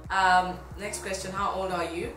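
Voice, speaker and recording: A woman's voice: mid-range voice, flat delivery, normal speed. A woman in her twenties from Tanzania chatting casually to camera in an upbeat, informal way. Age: 20s. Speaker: fashion blogger. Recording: roomy echo, music behind. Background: music.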